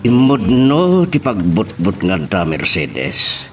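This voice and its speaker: Male actor as worried middle-aged Ilocano husband, lowish pitch, radio-style drama with music. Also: wildly swooping pitch, slow pace, exaggerated voice. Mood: troubled. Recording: music behind the voice.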